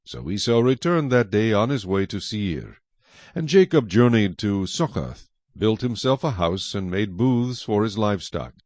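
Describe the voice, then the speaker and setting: A man narrating scripted scripture in a solemn, measured storytelling manner. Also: fairly low pitch, a little mellow, no echo.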